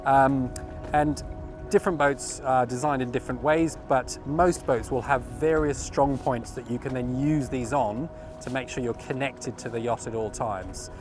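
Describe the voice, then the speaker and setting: A man's voice: mid-range voice, steady tone, moderate pace. A man in his thirties giving an informal, step-by-step instructional demonstration outdoors, explaining as he goes into a clip-on mic. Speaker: sailing instructor. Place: outdoors at a marina, on a yacht deck and dock. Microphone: clip-on lapel mic. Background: music.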